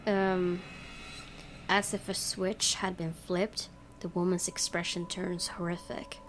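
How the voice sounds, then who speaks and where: A woman's voice: lowish voice, level tone, takes it slowly, a little hiss or hum. A woman gaming YouTuber doing a let's play, reading story text aloud expressively with casual asides. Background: game music.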